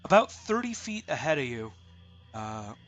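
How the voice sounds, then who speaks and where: A man narrating as dungeon master of a tabletop game, halting with many fillers, congested with a lingering cough. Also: mid-range voice, big pitch swings, a bit bright, faint music behind. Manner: halting, many ums and uhs.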